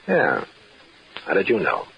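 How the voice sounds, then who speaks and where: A man's voice: low-pitched, huge pitch swings, a little slow, gravelly, slightly rough, full character voice. A man playing a guarded, well-off married man in an old radio detective drama, terse and businesslike. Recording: muffled, noisy old radio recording.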